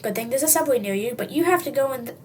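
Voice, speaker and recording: A high, youthful voice reading a comic story aloud in a dramatic, tongue-in-cheek way. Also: lively intonation, racing, darkish tone. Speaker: storybook reader. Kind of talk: comic read-aloud narration in second person. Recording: distant mic, faint background noise.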